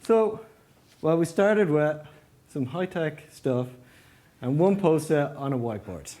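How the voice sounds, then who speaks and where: Mellow, higher-pitched man giving a talk. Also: expressive, slow. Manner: explanatory, poses rhetorical questions. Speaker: engineering manager giving a talk. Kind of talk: prepared conference-style presentation.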